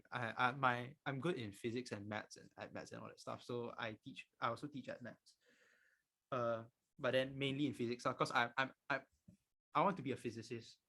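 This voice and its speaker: A man's voice: medium pitch, steady tone, fairly quick, sharp tone. A nineteen-year-old man with a Malaysian accent teaching an informal online class, emphatic and repeating his key points. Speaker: physics tutor.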